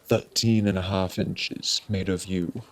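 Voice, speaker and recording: A man voicing an eccentric, eerie old wandmaker, soft-spoken and musing, in a theatrical storybook reading. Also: sits low, fairly even intonation, voice pushed down. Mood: musing, ominous. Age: older. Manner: musing, theatrical, drawn-out phrasing with sudden eager bursts. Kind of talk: dramatic audiobook reading with character voices.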